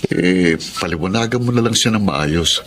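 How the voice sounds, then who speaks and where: A man's voice: deep voice, moderate pace, a bit bright, a little hiss or hum. A man with a Filipino accent playing an evasive, placating businessman husband in a radio drama. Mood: calm, apologetic. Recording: music behind the voice.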